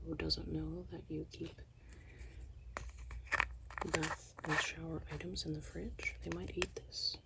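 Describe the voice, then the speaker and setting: A soft-spoken ASMR host, whispering slowly through a product haul with a tired, strained voice. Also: mid-range voice, level tone, creaky, very noisy. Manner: mostly whispered, slow and hushed.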